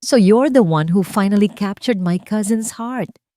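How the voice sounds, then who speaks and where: A woman voicing a charming, talkative young man who greets a newcomer warmly and mixes English into his talk. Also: mid-range voice, a little slow, no echo, clean studio sound, voice pushed up, natural voice. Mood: cheerful.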